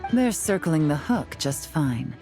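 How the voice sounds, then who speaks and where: Cool calculating woman, deep female voice, unhurried, sly, video game, loud music. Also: heavily acted. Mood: calm, watchful. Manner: calm, deliberate, sly. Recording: music behind the voice.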